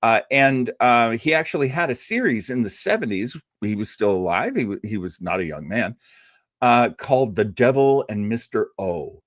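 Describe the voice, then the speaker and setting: Male radio-show host, mid-pitched and animated, conversational, emphatic, given to rambling asides. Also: normal speed, no room sound, clean studio sound. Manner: enthusiastic, emphatic. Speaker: host of an old-time radio listening show. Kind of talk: casual, chatty introduction to a radio show.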